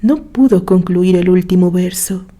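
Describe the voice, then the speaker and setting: A woman narrating a scripted story in a gentle, sentimental way. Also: fairly low pitch, measured pace.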